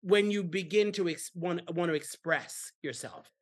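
A woman's voice: fairly low pitch, lively intonation, moderate pace. Rambling woman podcast host. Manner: rambling, repeats words, often asks "you know what I mean". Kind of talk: casual conversation.

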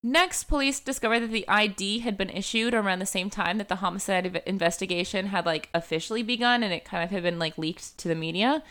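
A woman's voice: medium pitch, fast talker, hardly any pauses, fairly bright tone. A young woman hosting a true-crime podcast, telling a case in a casual chatty way, full of "like" and "um" fillers. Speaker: podcast host.

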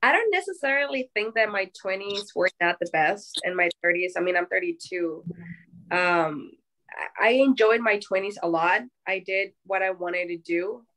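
A woman in her thirties chatting as a guest in a thoughtful, measured way. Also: medium pitch, lively intonation, sharp tone, poor audio. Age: 30s. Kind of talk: casual group chat.

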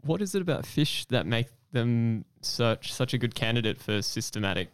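A man co-hosts a podcast interview with a voice of middling pitch. He asks curious follow-up questions, restarting a few times before he gets them out. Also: lively intonation, moderate pace, clean tone. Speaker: podcast co-host.

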